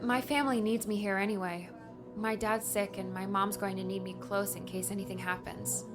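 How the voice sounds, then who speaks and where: Woman acting an earnest, homesick young adventurer, medium pitch. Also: fairly even intonation, moderate pace, natural voice. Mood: regretful, resolute. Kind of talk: audiobook drama acting. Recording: music underneath.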